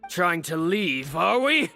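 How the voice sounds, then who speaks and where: An actor playing a bitter, jaded villain in a video game, mocking and theatrical in manner. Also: male voice, sits high, sing-song, takes it slowly, creaky, music in the background, exaggerated voice. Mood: smug, taunting. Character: bitter, jaded, sneering male fashion industry villain.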